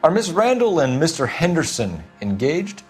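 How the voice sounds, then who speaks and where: An actor playing a polite, businesslike 1940s American police detective in a video game, with a clipped, formal manner. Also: male voice, fairly high pitch, big pitch swings, normal speed, music in the background. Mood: probing, polite.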